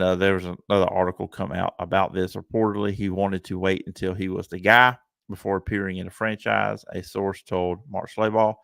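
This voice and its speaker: Gravelly man hosting sports talk. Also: fairly low pitch, dry recording, clean studio sound. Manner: casual, rambling, opinionated with filler words. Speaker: sports podcast host. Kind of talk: solo sports talk monologue.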